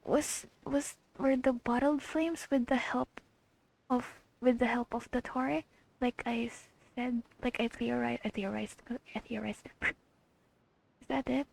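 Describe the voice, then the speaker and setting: A young woman giving casual, excitable, slangy reaction commentary while playing a video game. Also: on the high side, measured pace, creaky, poor audio. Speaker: gamer recording her playthrough. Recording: game music under the commentary.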